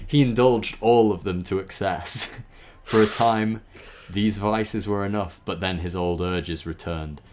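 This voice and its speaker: Low-voiced man reading aloud, noisy recording. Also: darkish tone. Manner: stumbles over words and breaks off to comment. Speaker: host reading a backstory aloud. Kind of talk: informal dramatic reading with chatty asides.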